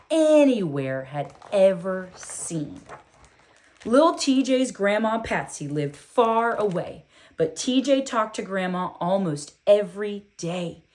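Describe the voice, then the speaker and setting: A woman reading a children's book aloud in an expressive, deliberate storytelling style with emphatic phrasing. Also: mid-range voice.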